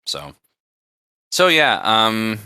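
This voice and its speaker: A man's voice: fairly low pitch, big pitch swings, extremely slow, pushed and bright, smooth, no echo, studio quality. A man hosting a podcast, chatting casually in a rambling, conversational way. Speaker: podcast host. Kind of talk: casual chat.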